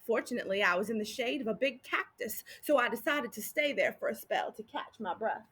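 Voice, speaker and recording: Woman putting on a folksy, high-pitched, boastful cowboy sheriff. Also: lively intonation, a little fast, fairly bright tone, slightly rough. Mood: folksy storytelling. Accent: American. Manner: drawling Old West dialect, exaggerated storytelling. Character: folksy, boastful, dim-witted cowboy sheriff telling his tale.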